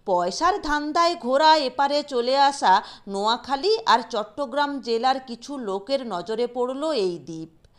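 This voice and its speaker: A woman narrating a literary short story in a deliberate, expressive storytelling manner. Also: sits high.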